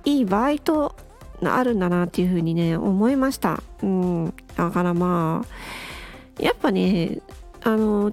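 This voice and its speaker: A woman's voice: mid-range voice, darkish tone. A woman hosting an unscripted solo audio show, murmuring casually as if to herself, close to the mic. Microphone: close to the microphone.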